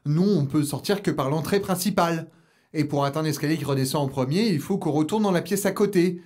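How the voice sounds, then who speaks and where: A man's voice: on the high side, brisk, a little mellow, lightly acted. A man playing a wary young urban explorer in an audio drama, talking in a colloquial, questioning, uneasy way. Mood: worried. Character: wary young male urban explorer, sceptical and easily rattled. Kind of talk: naturalistic audio drama dialogue.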